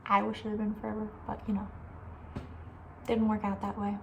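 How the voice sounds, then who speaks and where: A soft-spoken woman hosts a casual ASMR chat at a medium pitch. Her voice slips toward a breathy whisper as she rambles conversationally and gives playful opinions. A steady hiss and room ambience sit behind her. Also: brisk, muffled recording. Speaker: ASMR video host.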